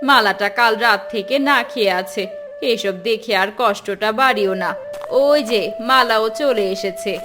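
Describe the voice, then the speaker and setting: A woman voicing a caring, weary village wife and mother, consoling and soft-spoken, in a cartoon folk tale. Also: medium pitch, normal speed, music in the background, close to an everyday voice.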